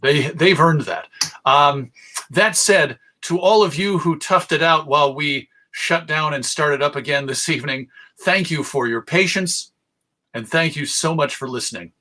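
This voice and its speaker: An American man giving an informal online talk as a presenter, halting, courteous and drily humorous. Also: a little high, bright, forceful tone. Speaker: presenter of a radio history talk. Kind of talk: informal lecture to an online audience.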